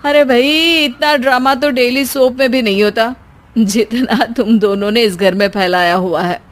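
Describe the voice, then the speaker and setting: A woman's voice: a little high, unhurried, natural voice. A woman voicing an exasperated adult son in a cartoon, who lectures his bickering family with sarcasm. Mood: lecturing.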